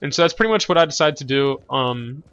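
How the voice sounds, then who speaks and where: A man's voice: medium pitch, expressive, quick pace, a bit bright, clean tone, lo-fi sound. A young man with a stuffy nose, casually commentating on his own gameplay in a rambling way, full of fillers and self-corrections.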